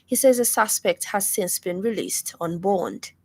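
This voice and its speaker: Zambian woman reading formal radio news. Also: mid-range voice. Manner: formal, measured delivery. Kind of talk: scripted news bulletin.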